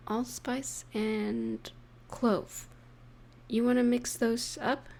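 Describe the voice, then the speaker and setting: A woman voicing an affectionate, playful partner in an intimate roleplay audio, speaking gently and teasingly to the listener with pauses. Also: a little high, vocal fry, exaggerated voice. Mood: affectionate, relaxed.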